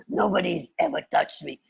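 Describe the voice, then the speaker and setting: Woman putting on a gruff, husky old lion. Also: on the low side, exaggerated voice. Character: gruff, lonely old lion, easily moved to tears.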